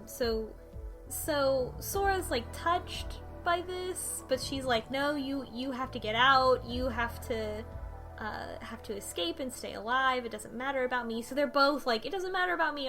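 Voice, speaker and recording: A woman's voice: high voice, moderate pace, a bit bright, soft background music. A woman co-hosting a casual chat, recapping a story with lots of ums and restarts. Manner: rambling, many ums and restarts. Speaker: podcast co-host.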